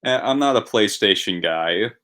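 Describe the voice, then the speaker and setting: A man's voice: on the low side, animated, normal speed, sharp tone. A man on a gaming podcast telling a rambling story with self-deprecating humor. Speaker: podcast co-host. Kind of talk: casual chat.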